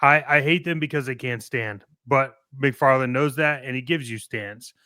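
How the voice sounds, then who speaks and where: Man, crisp clear voice, forceful edge, informal co-host chat, emphatic repetition. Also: mid-range voice, moderate pace, no room sound, clean studio sound. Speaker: co-host of a toy collecting show. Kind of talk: casual chat and opinion.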